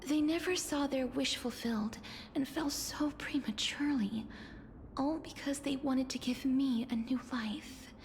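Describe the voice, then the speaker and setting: An actress playing a gentle, solemn young woman in a video game, speaking in a soft, breathy, measured and formal way. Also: sits high, slight background noise. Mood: wistful. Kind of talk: video game story dialogue. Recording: background music under the voice. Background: game music.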